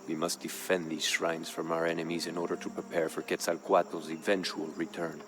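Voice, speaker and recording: A man voicing a grave Aztec jaguar warrior of royal blood, recounting events in measured, solemn game narration. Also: deep voice, level tone, noisy background, close to an everyday voice. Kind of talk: scripted dramatic narration for a game. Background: music.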